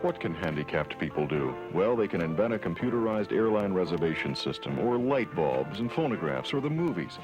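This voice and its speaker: A man delivers a scripted public service announcement in a lowish voice. His emphatic phrasing rises and falls widely as it builds through lists, with music playing behind him. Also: big pitch swings, brisk, hardly any pauses, dull audio.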